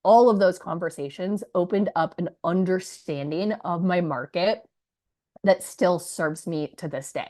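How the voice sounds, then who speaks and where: Hoarse, chatty woman coach, low voice. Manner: chatty, energetic, many "like" and "right" asides. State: hoarse from a cold. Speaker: business coach presenting her launch story. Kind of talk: live presentation to an audience.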